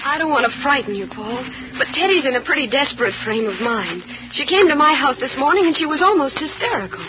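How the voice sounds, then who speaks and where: A woman's voice: high-pitched, big pitch swings, fast talker. Concerned American woman in muffled radio drama. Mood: anxious. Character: Claudia, concerned, gentle sister confiding bad news. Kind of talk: 1950s radio serial acting. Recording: muffled old radio recording with noise.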